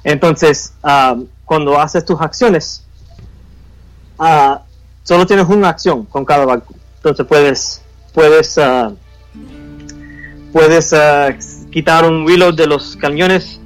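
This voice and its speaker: Guy whose first language was spanish but now mostly speaks english, halting, lots of pauses and fillers, slightly high voice, faint music behind. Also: low-quality recording. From United States, Cuban family. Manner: halting, searching for Spanish words, many fillers. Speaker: game designer guest. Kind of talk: casual interview chat.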